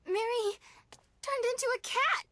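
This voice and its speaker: A woman voicing a sharp-tongued, sardonic young heroine in a video game, given to exclamations. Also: extremely high pitch, a little slow, no room sound, exaggerated voice. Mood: jealous, exasperated. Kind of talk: English video game dub acting.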